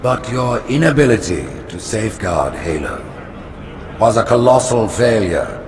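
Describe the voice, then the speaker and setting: An actor playing a stern ancient alien priest who speaks in grave, formal pronouncements. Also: male voice, on the low side, measured pace. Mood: stern judgment. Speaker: religious leader of the alien council. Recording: game cutscene with steady noise.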